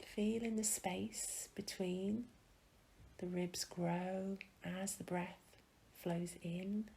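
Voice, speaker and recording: A woman leading a guided meditation in a soft, breathy, soothing manner with gentle pauses. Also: fairly low pitch, little pitch movement, slight background noise, poor audio.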